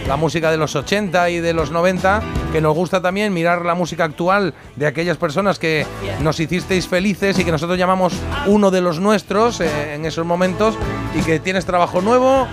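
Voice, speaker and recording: High-voiced man hosting radio, music behind. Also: brisk. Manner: friendly, chatty, reads listener messages. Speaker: radio host. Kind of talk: radio interview.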